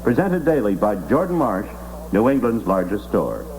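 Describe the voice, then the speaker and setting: A man's voice: on the low side, very animated, moderate pace, darkish tone. An American man reading scripted news and advertising copy as a radio announcer on an old broadcast recording. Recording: noisy old 1960s radio aircheck with hum. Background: clear noise and hum.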